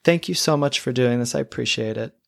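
A man's voice: mid-range voice, fairly quick, vocal fry, dry recording, studio quality. A man co-hosting a cooking podcast in casual chat, upbeat and chatty, laughing often. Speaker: podcast co-host and cookbook author.

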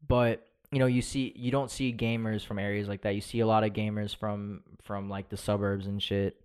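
A man's voice: fairly low pitch, level tone, moderate pace, a little mellow, smooth. A young man co-hosting a podcast, talking loosely and riffing with plenty of swearing. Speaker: podcast co-host. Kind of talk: casual podcast chat.

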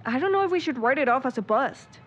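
High, animated young sidekick played by a woman. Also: quick pace. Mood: upbeat. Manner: coaxing, playful. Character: upbeat, teasing young female sleuth sidekick. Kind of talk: naturalistic audio drama acting. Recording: dull sound, faint noise.

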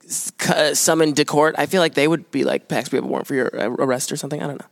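A man's voice: on the high side, steady tone, rapid-fire, vocal fry, no echo, studio quality. A young adult man chatting casually as a show guest, with a wry, self-deprecating manner. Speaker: show guest, summer intern. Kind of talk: casual chat.

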